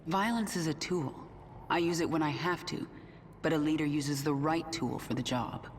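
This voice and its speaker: A woman's voice: deep voice, moderate pace, slight background noise, lightly acted. An actress voicing a ruthless, pragmatic queen of an alien swarm in a video game, with a cold, commanding manner. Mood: cold, resolute. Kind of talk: dramatic video game acting. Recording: loud game music over voice.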